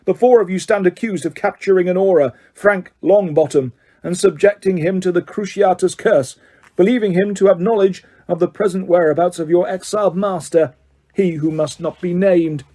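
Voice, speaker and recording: A man's voice: high voice, steady tone, moderate pace, mellow, pitched above the performer's own voice. A man reading aloud voices a stern, cold middle-aged male judge who bellows commands.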